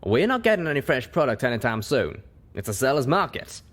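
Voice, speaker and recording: A man's voice: medium pitch, very animated, a little fast, fairly bright tone, pitched above the performer's own voice. A man voicing a grumpy, curt stallion shopkeeper in an audiobook story. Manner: grumbling, curt.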